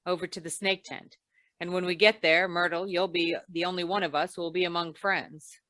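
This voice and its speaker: Hard-boiled noir investigator, played by a woman, lowish pitch, dry sound, wry, commanding, old-time radio style. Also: steady tone, a little fast, sharp tone, no room sound. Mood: cool, commanding.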